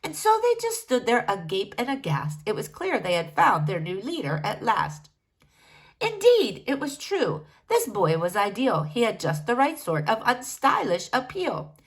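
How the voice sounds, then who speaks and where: A woman narrates a rhyming children's storybook aloud. Her voice is fairly high and extremely animated, rising and falling expressively through the verse. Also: measured pace. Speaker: storybook reader.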